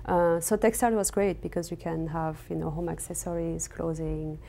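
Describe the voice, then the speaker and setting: Casting: a lower-voiced woman with a French accent, an artist who speaks haltingly and gently in her studio. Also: level tone, vocal fry, slight background noise. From raised in Senegal and Cameroon. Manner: hesitant, many fillers and restarts. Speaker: textile artist guest. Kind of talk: casual interview.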